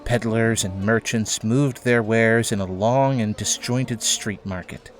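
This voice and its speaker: A man's voice: on the low side, fairly even intonation, unhurried. A man narrating a scripted audio drama as its storyteller. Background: background music.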